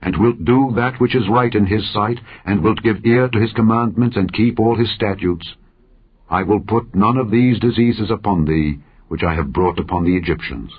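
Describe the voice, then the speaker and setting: Low-voiced man reading scripture, slightly husky. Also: lo-fi sound. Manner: measured, declamatory, in short phrases. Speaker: audiobook Bible narrator.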